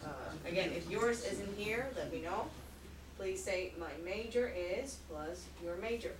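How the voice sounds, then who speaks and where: A woman teaching an English conversation class, talking slowly and clearly. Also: mid-range voice, background noise. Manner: slow, clear teacher talk. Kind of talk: classroom instruction. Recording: echoey classroom from far off.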